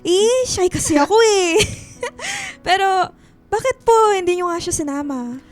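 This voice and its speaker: Woman acting giggly, very high-pitched teenage girl. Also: big pitch swings, measured pace, soft background music, heavily acted. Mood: giggly teasing. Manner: playful, teasing, exclaiming. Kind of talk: Filipino radio drama acting.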